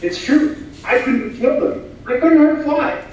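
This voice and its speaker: A deferential assistant played by a man defends himself earnestly in a stage comedy. His voice is high, heard at a distance in a very echoey room with faint noise. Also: measured pace, a little mellow, lo-fi sound. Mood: pleading.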